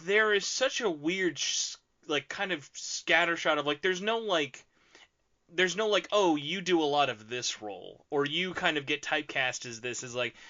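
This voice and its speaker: Male podcast host, bright voice, rambling filler-heavy questions, lo-fi muffled audio. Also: on the high side, normal speed. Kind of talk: casual podcast interview.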